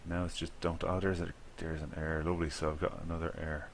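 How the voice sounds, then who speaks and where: A man's voice: rumbling low, level tone, fast, a little hiss or hum. An Irish man talking casually to himself while playing a video game, rambling and chuckling at his own mistakes. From Ireland. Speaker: gaming video creator. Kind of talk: casual gameplay commentary.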